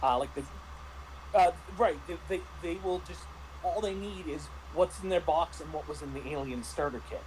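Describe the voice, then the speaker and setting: A man in a casual review discussion, talking haltingly with repeated words and false starts. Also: on the high side, darkish tone, a little hiss or hum, rough recording. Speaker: panelist reviewing a tabletop roleplaying game.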